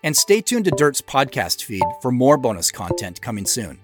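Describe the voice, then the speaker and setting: A man delivers scripted commentary as a show's creator. His mid-pitched voice moves in a lively way, with music playing clearly behind him. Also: moderate pace.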